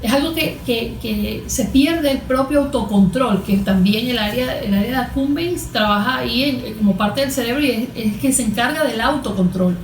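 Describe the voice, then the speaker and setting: A woman's voice: on the high side, normal speed, few pauses, a little hiss or hum. A woman with a Venezuelan Spanish accent speaks as a guest therapist in an interview, explaining things didactically with everyday examples and questions she answers herself. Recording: roomy, echoing room sound.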